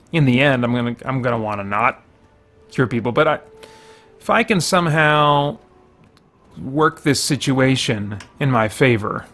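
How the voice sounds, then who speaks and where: A man's voice: mid-range voice, fairly bright tone. A middle-aged man hosting a gaming show, giving casual commentary and thinking aloud in a rambling way.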